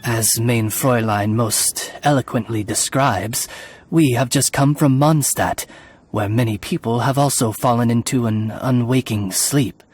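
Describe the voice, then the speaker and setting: A man's voice: mid-range voice, measured pace, breathy edge. A man voicing a loyal, formal raven familiar in a video game, with an eloquent, dignified manner. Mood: courteous, formal. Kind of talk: video game character acting. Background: background music.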